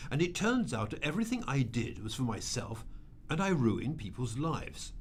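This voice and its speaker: A man voices a privileged man burdened with guilt in a radio play. He speaks earnestly and emphatically, pleading his case with very lively pitch. There is faint noise and ambience behind him. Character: guilt-ridden wealthy dead man, earnest and impassioned. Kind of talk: radio drama acting.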